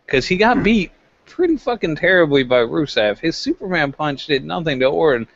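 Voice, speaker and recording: A man's voice: on the high side, animated, normal speed, gravelly, a bit bright, highs cut off. A man chatting casually as co-host of a review show, joking crudely and acting out imagined quotes.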